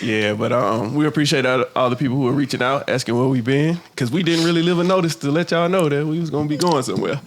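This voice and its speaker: A man, hosting a podcast in a relaxed, easygoing chat. He jokes with his co-hosts and keeps his talk loose and full of slang, at a mid pitch. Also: fast, hardly any pauses. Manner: laid-back, joking, slangy. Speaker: podcast co-host. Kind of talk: casual chat.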